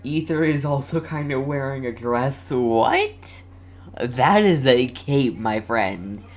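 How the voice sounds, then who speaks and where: Young male gaming streamer, casual commentary, very slow pace, animated intonation, halting, repetitive, faint noise behind. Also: medium pitch. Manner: halting, repeats phrases, giggly. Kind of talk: casual live game commentary.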